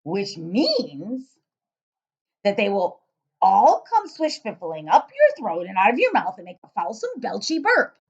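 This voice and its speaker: Woman voicing a loud, exuberant storybook giant. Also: wildly swooping pitch, breathy edge, dull audio, heavily acted. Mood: lecturing. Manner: booming, emphatic, drawn-out exclamations. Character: big friendly old giant, exuberant, mangles his words. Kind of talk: children's storybook read-aloud.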